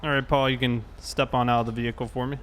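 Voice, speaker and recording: A man's voice: mid-range voice, flat delivery, fast, fairly bright tone, a little hiss or hum. A man role-playing a sheriff's deputy in a game, talking politely and procedurally to a suspect and over the radio. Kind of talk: police roleplay game talk and radio calls.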